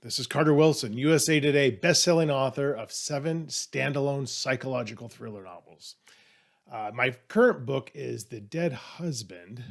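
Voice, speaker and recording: A man's voice: mid-range voice, creaky. A man speaking casually and off the cuff as an author presenting a trivia question. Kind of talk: casual, off-the-cuff talk to camera.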